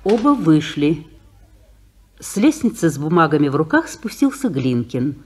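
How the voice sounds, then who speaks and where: A woman narrating a vintage radio play, reading the stage directions plainly. Her voice sits a little low but moves lively in pitch. She keeps her delivery crisp and matter-of-fact. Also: moderate pace. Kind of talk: scripted radio drama narration.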